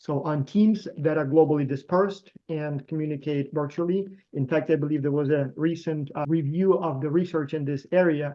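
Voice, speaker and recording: A man with a Ukrainian accent, a professor and founder, talking casually and quickly with many fillers on a video call. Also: on the high side, fairly even intonation, mellow. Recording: slightly dull lo-fi call audio.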